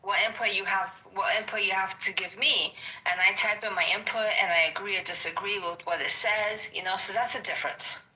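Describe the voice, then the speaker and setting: A woman from New York with a New York accent, chatting in an excited, rambling way and swearing casually. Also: mid-range voice, brisk, lo-fi sound. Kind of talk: casual chat.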